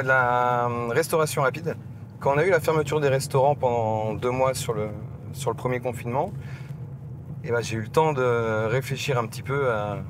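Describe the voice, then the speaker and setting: Male, 30s, bar owner; mid-pitched, loose interview delivery with fillers, clear music in the background. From France. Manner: relaxed, explaining with pauses and fillers. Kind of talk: news interview. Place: outdoors at a rural bar event.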